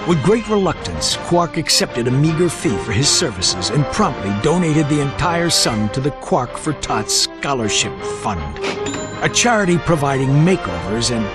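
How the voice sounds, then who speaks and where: Video game narrator, male, actor-performed: pompous, mock-heroic, reluctant, highly animated delivery over loud music. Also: normal speed, lightly acted. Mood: grandiose. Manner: grandiose announcer delivery with dry exasperation.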